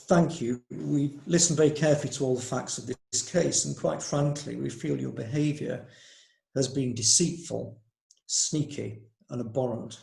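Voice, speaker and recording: A man playing a stern, formal magistrate in a courtroom play, measured and authoritative. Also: mid-range voice, fairly even intonation, vocal fry, a little mellow, reverberant, poor audio. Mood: grave, disapproving. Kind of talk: courtroom stage play acting.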